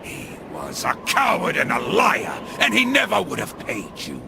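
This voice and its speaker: An actor playing an arrogant, enraged usurper emperor in a video game, theatrical and scornful, with a gravelly voice. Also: male voice, a little high, huge pitch swings, a little slow, noisy background, full character voice. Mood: contemptuous. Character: villainous usurper emperor, arrogant, defiant, full of rage. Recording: dramatic music behind the voice.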